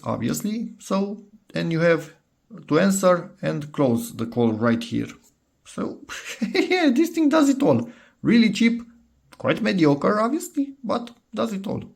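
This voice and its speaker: Creaky-voiced man casually demoing a gadget. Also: on the high side, a little mellow. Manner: hesitant, thinking aloud. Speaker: DIY electronics video maker. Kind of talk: casual unscripted product demo.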